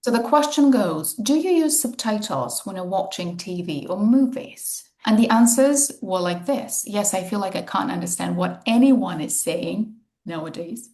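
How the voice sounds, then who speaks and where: A woman's voice: medium pitch, animated, moderate pace, few pauses, dark tone, echoey room. A woman English teacher leading an online speaking club, chatty and full of fillers, on a video call. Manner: chatty, many fillers like "you know" and "okay". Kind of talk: casual online group lesson. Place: home in Valencia.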